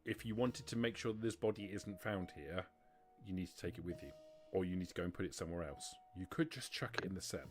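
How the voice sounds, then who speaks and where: A man's voice: deep voice, fast. A man narrating a tabletop roleplaying game as game master. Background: music.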